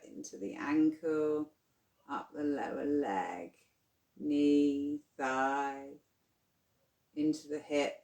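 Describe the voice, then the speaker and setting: Slow, roomy-sounding yoga nidra guide. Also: medium pitch. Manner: soothing, unhurried, gently instructing. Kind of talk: guided relaxation meditation.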